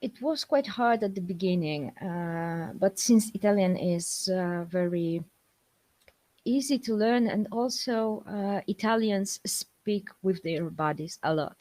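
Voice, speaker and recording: A young woman from Poland speaking English with a Polish accent in a casual interview, pausing often with fillers. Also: medium pitch, dark tone, dry recording. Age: young adult. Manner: hesitant, many fillers, laughs between answers. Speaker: guest scientist.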